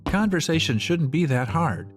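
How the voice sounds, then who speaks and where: Man acting a laid-back guy with lively intonation. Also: on the high side, moderate pace, faint music behind, natural voice. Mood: easygoing. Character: mellow, laid-back, sincere male survivor. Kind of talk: radio play acting.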